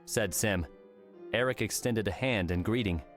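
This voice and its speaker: A man's voice: on the low side, normal speed. A man reading scripted audiobook narration as the narrator of a novel. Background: soft music.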